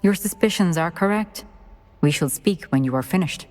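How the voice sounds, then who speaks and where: Actress as a low-voiced, enigmatic wizard mentor woman. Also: animated, music in the background, close to an everyday voice. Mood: composed, cryptic. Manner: solemn, deliberate, instructive. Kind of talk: video game acting.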